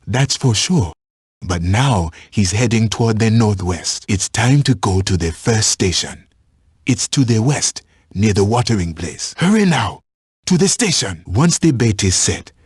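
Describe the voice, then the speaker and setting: An actor playing a patient, watchful African safari tracker in a video game, guiding a hunter in measured, instructive phrases. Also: male voice, fairly low pitch, darkish tone, husky, dry recording, studio quality, full character voice. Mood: urgent. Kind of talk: scripted video game voice lines.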